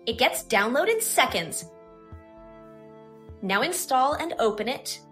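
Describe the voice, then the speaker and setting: Bright, lively high-voiced woman narrating a tutorial. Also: unhurried, faint music behind. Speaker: software tutorial presenter.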